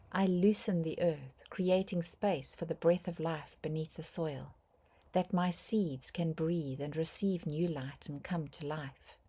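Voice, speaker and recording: A woman reading a scripted guided meditation in a soft, breathy voice, with gentle, measured phrasing and frequent pauses. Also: low voice.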